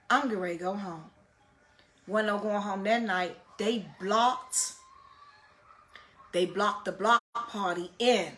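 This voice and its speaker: A woman with a loud voice, casually telling a personal story in a profane, animated way and repeating phrases for emphasis. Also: mid-range voice.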